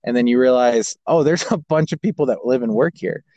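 A man's voice: mid-range voice, a little fast, dark tone, no echo, muffled recording. A man in his twenties, an American who grew up abroad, telling personal stories casually as a podcast guest. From American who grew up in Kazakhstan and Japan. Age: 20s. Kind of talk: casual personal storytelling in an interview.

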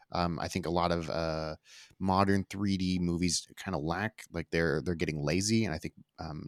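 A man's voice: sits low, dry recording, studio quality. A man hosting a casual discussion of a movie trailer, speaking with enthusiasm and asking the others for their opinions. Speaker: podcast host.

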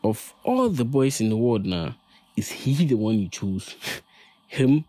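A man's voice: mid-range voice, big pitch swings, slow, warm tone, no room sound. A man acting a bitter, persistent young suitor in an audio play, with a Ghanaian accent. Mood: jealous, mocking. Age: young adult. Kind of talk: dramatic dialogue in an audio play.

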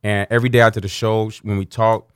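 A man's voice: fairly low pitch, flat delivery, fast, clear, resonant tone, dry recording, studio quality. A man co-hosting a casual talk show, an entrepreneur speaking earnestly and spiritually, with frequent "you know". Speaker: co-host, entrepreneur. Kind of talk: casual chat.

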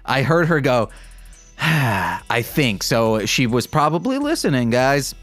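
Slow-paced man reading aloud with joking asides. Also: mid-range voice, sing-song, fairly bright tone, soft background music. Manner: irreverent, joking asides to listeners. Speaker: podcast host. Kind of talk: reading fan fiction aloud with casual comic asides.

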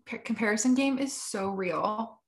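A woman's voice: on the high side, normal speed. A woman in her thirties, a podcast guest, talking in an upbeat, encouraging, conversational way. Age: 30s. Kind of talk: casual interview chat.

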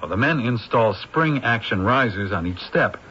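A man's voice: on the low side, animated, moderate pace, sharp tone. An actor playing an affable male movie crew member who explains things in a radio drama. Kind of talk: 1970s radio drama acting. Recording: dull old radio recording, faint hiss.

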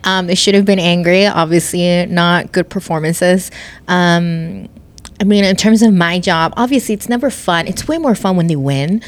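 A woman's voice: on the low side, moderate pace. A young woman being interviewed about her club work, chatting casually with lots of "like" and frequent laughter. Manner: bubbly, laughs often, lots of "like". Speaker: club communications coordinator, guest.

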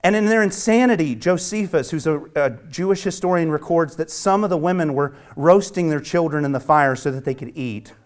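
A man from the American South preaching a sermon with a Southern accent, earnest and emphatic, repeating phrases and dropping at times to a hush. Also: fairly high pitch, a little fast, few pauses. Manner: swings from hushed near-whisper to loud emphatic preaching. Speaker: pastor.

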